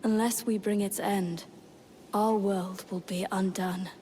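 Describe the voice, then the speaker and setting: A woman playing a solemn, composed priestess in a video game, speaking in a formal and measured way. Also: mid-range voice, steady tone, warm tone, slight background noise, lightly acted. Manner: formal, measured, grave delivery. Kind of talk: scripted video game dialogue.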